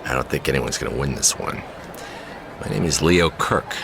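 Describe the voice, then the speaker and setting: An actor playing a troubled, wry jazz pianist, halting and suspicious, in a radio drama. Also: male voice, a bass voice, gravelly, crowd noise, background noise. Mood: uneasy, wry. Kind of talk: naturalistic radio drama acting. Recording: music behind the voice.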